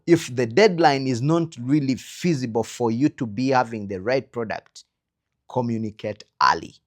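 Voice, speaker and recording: A man with a Ugandan accent giving an instructional talk as a trainer, earnestly advising with rhetorical questions and emphatic pauses. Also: mid-range voice. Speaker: trainer in creative production quality control.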